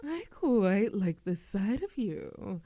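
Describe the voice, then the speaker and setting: A dark, mellow voice, very unhurried, a woman acting an old-world vampire in an audio drama. She sounds composed and knowing, with soft, measured teasing. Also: mid-range voice, very animated, no room sound. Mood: calm, teasing. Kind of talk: scripted ASMR audio roleplay acting.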